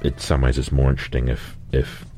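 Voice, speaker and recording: Male singer, extremely low voice, thoughtful, relaxed interview guest. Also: fairly quick. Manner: reflective, laughs easily. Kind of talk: conversational interview.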